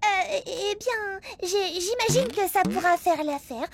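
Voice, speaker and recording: A woman's voice: very high-pitched, animated, unhurried, background noise, full character voice. A woman playing an earnest, emotional little female microbe in a French cartoon dub, childlike and effusive. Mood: hesitant, let down.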